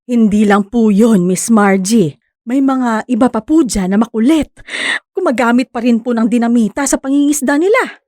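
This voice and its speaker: A woman's voice: fairly high pitch, moderate pace, darkish tone, no room sound, studio quality, natural voice. A woman playing an outspoken fishing vessel owner in a radio drama, assertive but still polite. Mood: firm, objecting.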